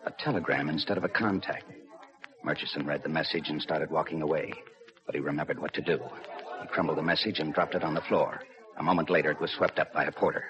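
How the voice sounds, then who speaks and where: A low, steady male voice as a businesslike police lieutenant in old radio crime drama. The recording is muffled, with a busy crowd behind him. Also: fast talker. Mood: grave, tense narration. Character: steady, businesslike railroad police lieutenant, narrating his case. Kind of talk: 1950s radio crime drama narration and dialogue.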